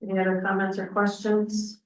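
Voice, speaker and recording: A woman's voice: mid-range voice, monotone, moderate pace. A woman chairing a council meeting in formal procedural style, recorded in a meeting room. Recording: echoey room, distant from the mic.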